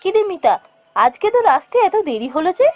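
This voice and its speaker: Landlord household's mistress, cartoon role, performed by a woman: high voice, motherly, chiding, gives instructions kindly. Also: very animated, fast talker, darkish tone. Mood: concerned scolding. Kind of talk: cartoon voice acting.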